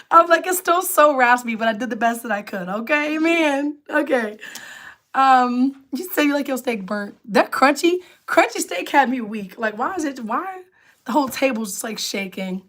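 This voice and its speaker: A woman with a raspy, lower alto voice, hosting a casual livestream and chatting with viewers as she answers their comments. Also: expressive, measured pace, fairly bright tone. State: raspy voice from illness. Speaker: livestream host.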